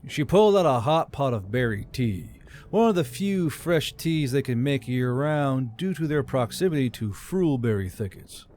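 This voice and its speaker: A man's voice: medium pitch, expressive, moderate pace, a little hiss or hum. A man reading scripted fantasy fiction as an audiobook narrator. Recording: soft music bed under narration.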